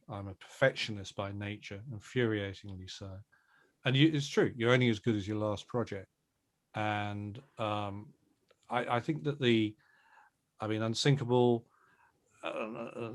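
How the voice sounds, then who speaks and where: A man answering as a producer in a conversational interview, halting and self-deprecating. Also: on the low side.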